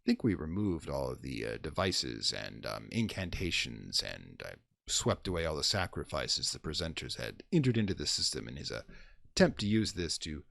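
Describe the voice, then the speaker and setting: Deep, gravelly, theatrical male horror host. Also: very animated, fairly bright tone, dry recording, clean studio sound. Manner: dramatic, deliberate, macabre flourish. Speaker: host and presenter of a horror radio theater series.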